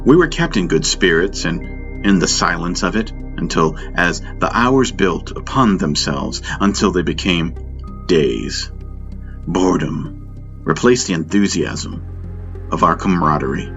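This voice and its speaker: Male audiobook narrator for science fiction, very low register, slightly dull recording with prominent music underneath. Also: animated, unhurried. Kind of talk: scripted first-person sci-fi audio drama narration. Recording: dull muffled sound under loud music. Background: loud music.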